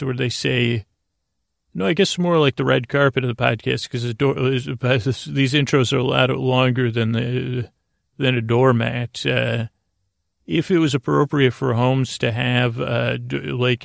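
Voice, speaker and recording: A man hosting a sleep podcast, rambling off the cuff in a halting, meandering way with stammers and repeated words. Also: mid-range voice, no room sound, clean studio sound. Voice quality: creaky, gravelly. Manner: deliberately slowed-down delivery. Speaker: podcast host.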